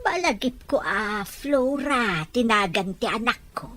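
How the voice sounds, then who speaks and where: A woman's voice: on the high side, lively intonation, a little slow, fairly bright tone. A woman playing a forgetful, confused old grandmother in an audio drama, repeating herself. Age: older. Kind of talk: amateur audio drama acting.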